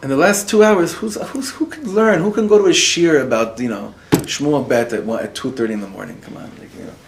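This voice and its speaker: A man's voice: on the high side, brisk, background noise. A man, a rabbi, teaching a live class to an audience in the room, mixing Hebrew and Yiddish words into his talk and putting questions to his listeners. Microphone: recorded for web students.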